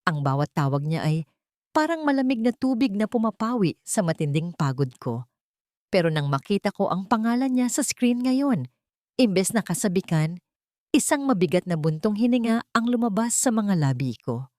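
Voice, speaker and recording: A woman narrating a scripted confession story in the first person, with solemn, emotive, deliberate phrasing. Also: medium pitch, very animated, darkish tone, no room sound, clean studio sound.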